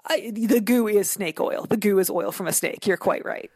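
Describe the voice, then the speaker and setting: A woman giving thoughtful, discursive commentary as an interview guest, often halting as she works out her ideas. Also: mid-range voice, darkish tone, slightly rough, dry recording, studio quality. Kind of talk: conversational literary and cultural commentary.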